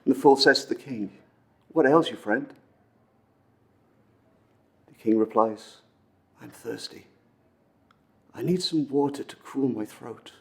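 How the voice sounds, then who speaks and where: A man acting a dramatic monologue as an earnest, wistful storyteller recounting an old legend, with intense pauses and swells. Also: mid-range voice, vocal fry. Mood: tender, rising wonder. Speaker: amateur actor performing a film monologue.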